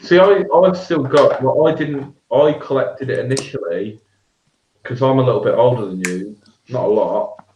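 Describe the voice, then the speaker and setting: A man, chatting casually as a show co-host, animated and quick to laugh. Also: medium pitch, slight background noise. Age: 30s. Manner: animated, laughing, trails off and restarts. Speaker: podcast co-host. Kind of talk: casual chat about tabletop miniatures. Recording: echoey room, dull lo-fi sound.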